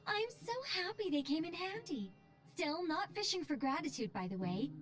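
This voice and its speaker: An actress playing a poised, playful, self-assured angelic mage in a video game, airily polite and gently boastful. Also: female voice, high voice. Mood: light, fond. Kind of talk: video game character dialogue. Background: background music.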